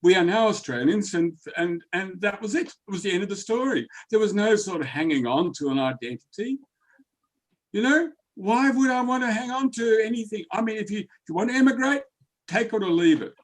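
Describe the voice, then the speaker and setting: A man's voice: sits high, lively intonation, brisk. A man who grew up in the Netherlands, taking part in a group book discussion, emphatic and prone to stammering and repeating words.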